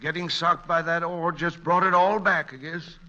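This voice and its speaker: A man's voice: a little high, fairly even intonation, normal speed, sharp tone. An actor playing a wary, slangy sidekick who urgently cautions his pal in a radio comedy. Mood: explaining. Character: nervy, cautioning sidekick pal of a con man. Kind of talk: 1940s radio comedy acting. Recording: dull, muffled vintage radio recording.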